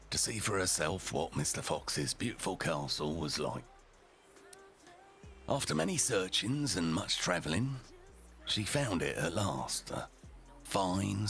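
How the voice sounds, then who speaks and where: A man voicing a rough and ready, foul-mouthed storyteller, narrating a storybook tale theatrically with deliberate, dramatic pauses. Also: deep voice, a bit bright, heavily acted.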